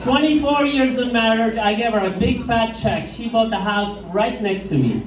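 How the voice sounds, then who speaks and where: High-voiced middle-aged Iraqi-accented comedian. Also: male voice, noisy background. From Iraq. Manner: deadpan one-liners, slow punchline delivery. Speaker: stand-up comedian. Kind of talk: stand-up comedy set. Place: pub stage with live crowd. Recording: echoey room, mic heard from far off.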